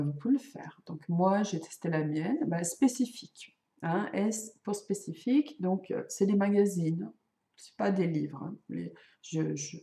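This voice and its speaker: A middle-aged woman with a mellow, gravelly voice who is not a native French speaker. She chats to her viewers in a relaxed, self-mocking way, often pausing to hesitate. Her voice carries the echo of the room around her. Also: low voice. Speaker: knitting and crochet vlogger. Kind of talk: casual chat to camera.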